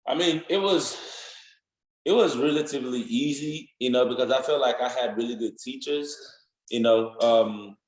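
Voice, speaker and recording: A man's voice: mid-range voice, moderate pace, reverberant, poor audio. A young man with a Nigerian accent, answering interview questions in an earnest, rambling way, often adding "you know what I'm saying". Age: young adult. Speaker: football player guest.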